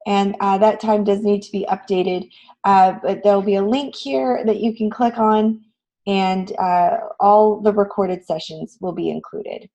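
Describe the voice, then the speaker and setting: A woman with a raspy voice, hoarse from a cold, teaching a live online class in an encouraging, conversational way. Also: mid-range voice, steady tone, measured pace, dark tone, dull audio. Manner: encouraging, addresses students with frequent questions. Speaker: online graphic design instructor. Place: online class session, screen shared.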